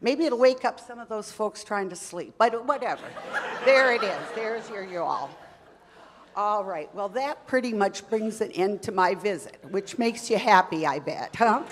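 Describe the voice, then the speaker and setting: A woman doing a folksy church lady who drawls and teases as she hands out gifts, chatty and full of fun. Her voice sits at a middling pitch. It rings out in a reverberant church hall. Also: lively intonation, measured pace, crowd noise, natural voice. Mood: playful teasing. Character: folksy Texan church lady, gift-giving and teasing. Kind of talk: comedy skit performance. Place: church sanctuary.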